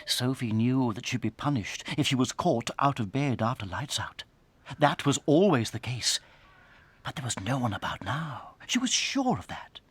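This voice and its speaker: A man with a British accent narrating a dramatized children's story in a suspenseful, theatrical way that can drop toward a hush. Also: mid-range voice, slightly rough. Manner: dramatic, suspenseful, hushing to near whispers.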